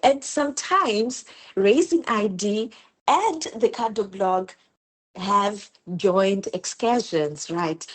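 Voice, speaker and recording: A woman from Zimbabwe, a homeschooling mother, talking in a casual interview and telling her stories earnestly and with feeling. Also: medium pitch. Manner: earnest, animated storytelling with repeated words.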